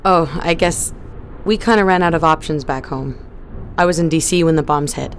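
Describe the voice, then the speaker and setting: An actress playing a quiet, resolute young woman on a spaceship crew. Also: low voice, normal speed, a little hiss or hum, close to an everyday voice. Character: determined young female spaceship crew member.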